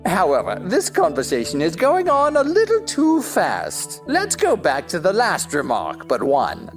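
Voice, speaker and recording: A haughty talking egg from a storybook play, argues everything, voice swoops all over the place. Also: female voice, fairly low pitch, wildly swooping pitch, unhurried, faint music behind, heavily acted. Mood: smug. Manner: pompous, haughty, exaggerated emphasis. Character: pompous, touchy, argumentative talking egg on a wall. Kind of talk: theatrical audio drama acting.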